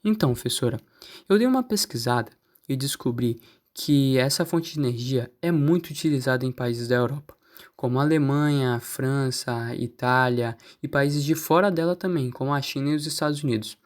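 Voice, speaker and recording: A man's voice: mid-range voice, moderate pace, close to an everyday voice. A man with a Brazilian accent playing a studious, curious student who explains things like a school presentation in an amateur radio play. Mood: earnest, explanatory. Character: studious, curious male student answering teacher. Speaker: student in class.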